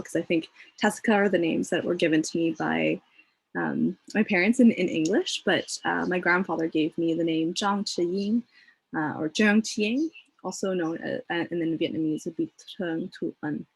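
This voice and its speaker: A woman's voice: fairly low pitch, gravelly, husky, dry recording, rough recording. A young woman on a panel, speaking over a video call in a thoughtful, earnest way with many fillers. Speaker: panelist, community advocate.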